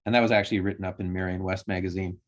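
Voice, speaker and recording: A man's voice: low voice, little pitch movement, rapid-fire. A man speaking as a guest in a casual discussion, hedging his points with frequent ums.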